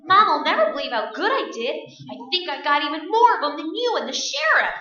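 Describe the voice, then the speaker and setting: An actress playing a perky, boastful young daughter in a posse in a staged radio play. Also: female voice, very high-pitched, very animated, a little fast, crowd noise. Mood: proud, eager. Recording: echoey stage, distant mic, dull sound.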